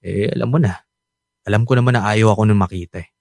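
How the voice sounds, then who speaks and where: A man's voice: on the low side, fast talker, gravelly, darkish tone, no room sound, studio quality. A man playing a remorseful, defensive unfaithful husband and young father in a Filipino radio drama, speaking with a Filipino accent. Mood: uneasy, resigned. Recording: music bed behind the voice.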